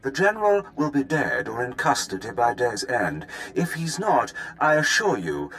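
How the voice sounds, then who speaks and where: An actor playing a smug, ruthless imperial fleet commander in a video game, with a pompous, measured manner. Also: male voice, medium pitch, big pitch swings, fairly bright tone. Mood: smug menace.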